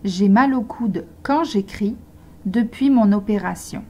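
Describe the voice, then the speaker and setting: A woman slowly reading simple model sentences aloud for beginner language learners, pronouncing each one with care. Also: medium pitch, darkish tone. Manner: slow, carefully articulated dictation. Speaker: language teacher.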